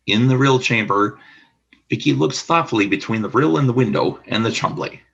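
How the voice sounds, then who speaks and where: A man's voice: mid-range voice, normal speed, creaky, a bit bright, slightly rough, muffled recording. A man reading scripted narration for a radio play, describing the action in the present tense.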